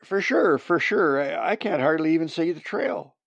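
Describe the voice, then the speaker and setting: A male narrator plays a crude, excitable hunter from a storybook. The voice is pitched high and strained, given to jeering and cackling, with a gravelly edge in a dry recording. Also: moderate pace, no echo, dull audio. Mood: agitated. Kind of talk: storybook character voice.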